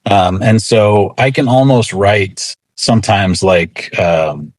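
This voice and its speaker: A man's voice: fairly low pitch, slow pace. A man talking casually as an interviewed writer, rambling with lots of filler words and a wry, self-deprecating manner. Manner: rambling, frequent "like" and "you know". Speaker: comic book writer being interviewed.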